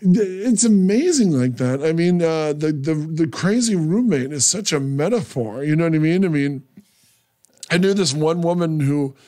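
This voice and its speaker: A man's voice: fairly high pitch, animated, moderate pace, warm tone. A man hosting a radio interview, telling stories enthusiastically in a small studio on a desk mic. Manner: talkative, storytelling, enthusiastic. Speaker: radio show host. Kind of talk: casual interview chat.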